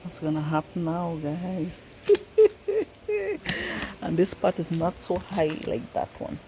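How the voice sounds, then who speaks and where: Female cooking vlogger, Guyanese accent, low register, casual think-aloud chat, clearly noisy home recording with insects. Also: big pitch swings, slow pace. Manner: thinks aloud, repeating phrases.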